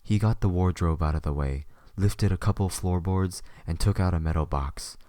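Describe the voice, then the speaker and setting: A man's voice: sits low, normal speed, mellow, no room sound, studio quality. A man reading scripted third-person story narration as the narrator of an audio drama.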